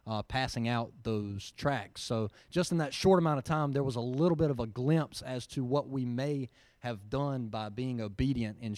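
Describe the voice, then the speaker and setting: Earnest guy sharing a testimony at church, mic sounds dry and close, middle pitch. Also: a little mellow, dry recording. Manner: earnest, storytelling, with frequent fillers. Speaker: mission team member giving a report. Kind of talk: personal testimony to a church audience.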